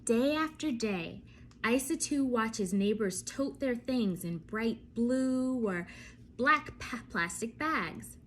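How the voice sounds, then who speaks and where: A woman reading a bedtime story aloud for children, expressive and often turning to ask her young listeners questions. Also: on the high side, takes it slowly, slight background noise.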